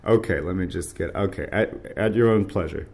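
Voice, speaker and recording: An American man conducting a casual interview, effusive like a fan, with hesitant, halting phrasing. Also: low-pitched, vocal fry, darkish tone.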